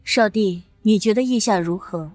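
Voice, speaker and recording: A woman's voice: mid-range voice, dry recording, soft background music, close to an everyday voice. Stately, shrewd empress voiced by a woman. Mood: curious, measured. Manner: stately, measured, imperious. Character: shrewd, authoritative empress and protective mother. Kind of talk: Chinese period comic-drama dubbing.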